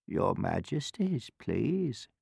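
A man voicing a gentle old woman in a storybook, hushed and unhurried, with a soothing manner. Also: fairly low pitch, huge pitch swings, breathy edge, no room sound, clean studio sound, heavily acted. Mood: soft, assured.

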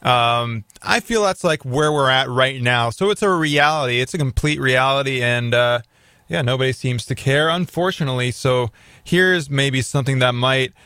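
A man's voice: medium pitch, normal speed, sharp tone, smooth, dry recording, studio quality. A man hosting a talk radio show, chatting loosely with many fillers and restarts.

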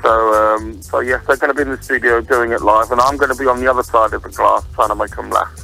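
A man's voice: medium pitch, steady tone, quick pace, creaky, pushed and bright, faint music behind, low-quality recording. A man chatting casually as a playwright guest on the radio, modest and a little hesitant. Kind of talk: casual radio interview chat.

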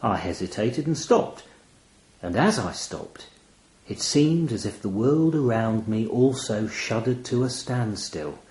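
A man reading a first-person literary short story in an expressive, measured, wry manner. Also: fairly low pitch, reverberant, low-quality recording. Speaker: audio story reader.

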